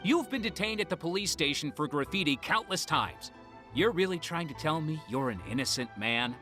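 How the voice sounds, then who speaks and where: Stubborn accusing cop for a video game, bright hard tone, lively pitch, faint music behind. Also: male voice, moderate pace. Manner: accusing, officious. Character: stern, stubborn, suspicious male police officer.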